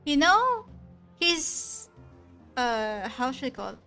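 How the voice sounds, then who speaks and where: A woman's voice: high voice, sing-song, takes it slowly, bright, forceful tone, faint music behind. A woman giving casual live commentary as she streams a game.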